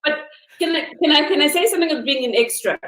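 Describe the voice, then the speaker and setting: A woman's voice: high voice, fast, fairly bright tone. Woman, chatty, self-deprecating, echoey room. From South Africa. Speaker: guest, director and actress. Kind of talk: casual interview chat.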